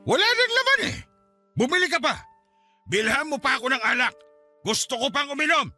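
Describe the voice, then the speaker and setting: Whiny filipino drunk husband, radio drama, really sharp high voice, blustery. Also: male voice, big pitch swings, a little fast, soft background music, full character voice. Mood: drunken, belligerent. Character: belligerent, needy, jobless drunkard husband.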